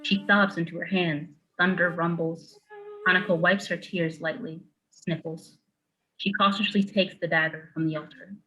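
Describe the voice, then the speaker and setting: A woman's voice: fairly low pitch, level tone, moderate pace, pushed and bright, faint music behind, poor audio. A woman narrating a screenplay, reading out scene headings and stage directions as scripted narration.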